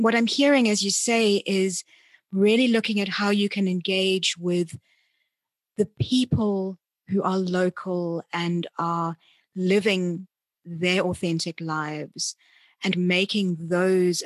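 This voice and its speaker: A woman hosts an interview in a conversational, reflective way. Her voice sits at a middling pitch, and she rephrases her thoughts as she goes. The sound is dry, with little room echo. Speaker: podcast host, interviewer.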